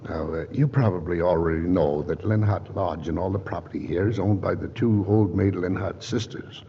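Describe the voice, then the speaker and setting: A scheming murderer in an old radio drama, speaking low and smooth with hesitant pauses. The broadcast is muffled, with faint hiss. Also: male voice. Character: scheming male lawyer turned murderer. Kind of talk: 1940s radio acting.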